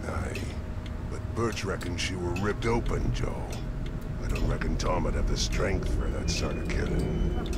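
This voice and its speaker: A man playing a gruff, wary small-town hotel keeper in a video game, speaking in a guarded rustic dialect. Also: low-pitched, unhurried, over music. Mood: guarded, low. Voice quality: gravelly.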